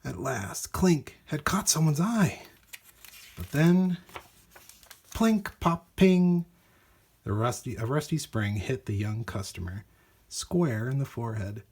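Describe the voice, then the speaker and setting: A man reads a children's story with theatrical emphasis. His voice is slightly rough-edged and husky, and his pitch moves up and down freely as he stresses key words. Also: takes it slowly, long pauses. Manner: expressive, dramatic emphasis. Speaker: storybook reader. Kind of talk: animated scripted storybook narration for children.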